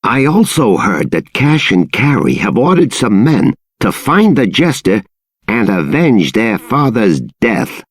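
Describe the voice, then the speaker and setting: A man's voice: lowish voice, sing-song, a little slow. A man playing a secretive townsman in a video game, confiding grim gossip in a storytelling manner. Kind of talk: video game dialogue acting.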